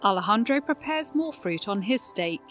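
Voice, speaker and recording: A woman's voice: mid-range voice, animated, normal speed, a bit bright, soft background music. A woman narrating a scripted fantasy audio drama.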